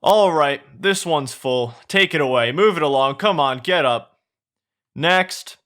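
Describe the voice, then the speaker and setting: A man's voice: on the high side, expressive, measured pace, fairly bright tone, voice pushed up. A man voicing a curt, impatient, bossy head guard who barks short orders.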